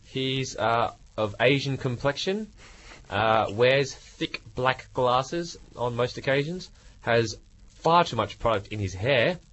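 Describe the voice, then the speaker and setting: A man's voice: medium pitch, takes it slowly, a bit bright, highs cut off. A young man co-hosting late-night radio, teasing his friend in a dry way. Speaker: radio co-host.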